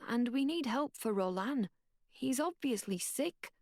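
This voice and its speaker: A woman's voice: on the high side, lively intonation, unhurried, darkish tone, dry recording, clean studio sound, voice pushed up. A woman doing a hesitant, earnest young boy. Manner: hesitant, stammering with fillers.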